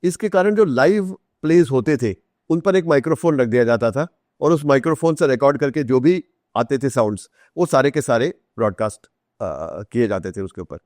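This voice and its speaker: A man's voice: mid-range voice, expressive, normal speed, darkish tone, no echo, studio quality. A man with an Indian accent explains and tells anecdotes in conversation as an interview guest, emphatically, repeating phrases, asking rhetorical questions and slipping into English. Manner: mixes Hindi with English phrases.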